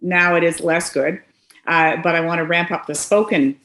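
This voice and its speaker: A Canadian woman in her sixties, a guest on a video call from her living room, talks in a lively way with frequent laughs and quick asides. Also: on the low side, reverberant. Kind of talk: casual conversation. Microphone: webcam on a video call.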